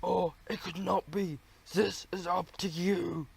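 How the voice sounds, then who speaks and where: Slow, foreign-accented mouse doctor. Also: male voice, high voice, steady tone, creaky, breathy edge, heavily acted. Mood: solemn, evasive. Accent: foreign-sounding. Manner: slow, deliberate, drawn-out phrasing. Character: psychiatrist mouse doctor, solemn, evasive, slow and deliberate.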